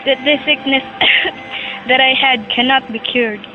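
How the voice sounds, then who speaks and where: A woman's voice: high-pitched, fairly even intonation, takes it slowly. A young woman acting a stern, ailing old rich father who lectures his sons in an amateur student audio drama. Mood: ailing, resolute. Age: young adult. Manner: lecturing, admonishing. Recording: muffled amateur recording with music.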